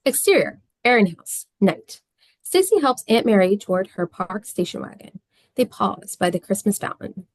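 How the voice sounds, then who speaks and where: Creaky-voiced woman narrating a script. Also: mid-range voice, sing-song, moderate pace, dry recording. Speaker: stage-direction narrator.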